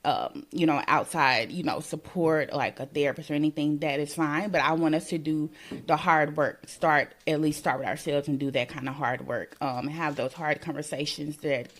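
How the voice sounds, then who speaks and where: A woman's voice: deep voice, fairly even intonation, normal speed. A woman from Mississippi, a working mother, talking through her experiences in a casual podcast conversation, thinking aloud and leaning on "you know" and "um" as she goes.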